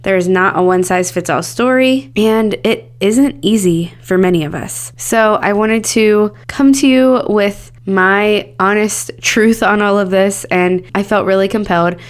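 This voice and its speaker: A woman's voice: medium pitch, a little slow, hardly any pauses. A woman in her thirties hosting a solo podcast, talking in an earnest, candid and upbeat way, her voice a bit scratchy from a cold. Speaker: podcast host.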